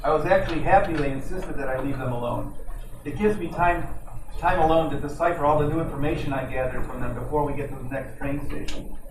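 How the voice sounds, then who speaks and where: A man's voice: on the high side, steady tone, fast talker, natural voice. Man acting a cocky, flirty detective, noisy echoey recording. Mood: reflective narration. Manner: smooth-talking, wisecracking, slangy. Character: flirty, cocky detective posing as an innocent train passenger. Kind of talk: old-time radio detective drama acting. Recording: roomy, noisy, distant amateur mic.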